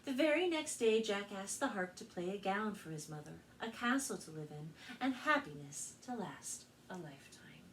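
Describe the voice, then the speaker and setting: An American woman working as a children's librarian, telling stories and presenting to kids in an expressive, carefully spoken way. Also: mid-range voice, normal speed, few pauses. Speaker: children's librarian and storytime host. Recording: distant mic with faint background noise.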